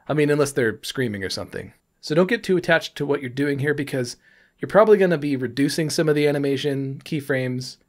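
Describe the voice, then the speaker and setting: A man narrating a tutorial in a casual, informal, explanatory way. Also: mid-range voice. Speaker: 3D animation tutorial presenter.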